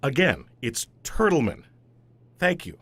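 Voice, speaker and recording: An actor playing a dry, officious auditor in a comedic audio drama, formal and deadpan in his wit. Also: mid-range voice, measured pace, breathy edge, dry recording, exaggerated voice. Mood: stern, matter-of-fact. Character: dry, officious male auditor, stiff and exacting.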